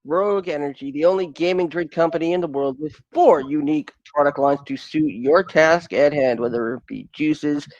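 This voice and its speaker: Man, ad read, high voice, dry close sound, upbeat. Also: normal speed, hardly any pauses, darkish tone, no echo, dull audio. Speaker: ad announcer. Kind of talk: read sponsor ad.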